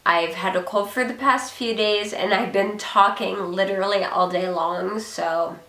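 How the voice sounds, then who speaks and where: A young woman with a hoarse voice from a cold, chatting casually with many ums as she shows off her purchases. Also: mid-range voice, fairly even intonation, unhurried, pushed and bright, echoey room. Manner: chatty, rambling, frequent ums. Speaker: beauty vlogger. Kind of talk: casual product haul chat.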